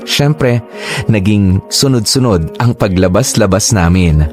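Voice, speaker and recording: A man's voice: fairly low pitch, lively intonation, slow pace, a little mellow, faint music behind. A man in his late twenties telling his story in a confiding, candid way. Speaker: narrator. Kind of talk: scripted storytelling.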